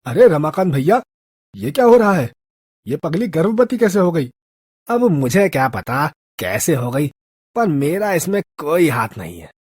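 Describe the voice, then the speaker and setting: Storybook bystander: woman playing a gossipy, scornful local man, husky, very animated pitch, colloquial. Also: measured pace, dry recording, studio quality, heavily acted. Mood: nosy, defensive. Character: gossiping, scornful townsfolk men on the street.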